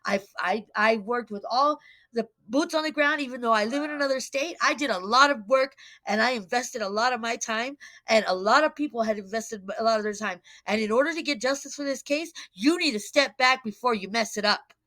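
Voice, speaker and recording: A woman's voice: a little high, a little fast, sharp tone. A woman delivering an informal online rant in a blunt, emphatic, repetitive way. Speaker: online commentator posting a rant. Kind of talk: confrontational direct-to-camera rant, informal.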